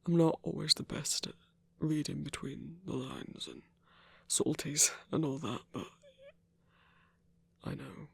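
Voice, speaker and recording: A gentle, awkward male hunter voiced by an actor speaks with a gravelly, husky edge. He is earnest and remorseful, stumbling over his words and apologizing in an intimate ASMR roleplay. The delivery stays close and dry. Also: mid-range voice, dry recording, exaggerated voice. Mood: reassuring, hesitant. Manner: hesitant, halting, apologetic, stammering.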